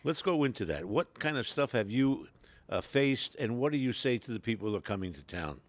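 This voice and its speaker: A man hosting an interview show, asking measured questions and paying his guest compliments. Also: medium pitch, expressive, dry recording. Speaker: show host.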